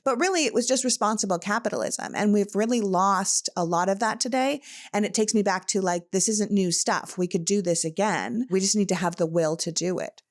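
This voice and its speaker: A woman's voice: mid-range voice, a little fast. A woman from Canada hosting a podcast, talking politics in a passionate, emphatic way. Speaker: podcast host. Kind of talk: casual political talk.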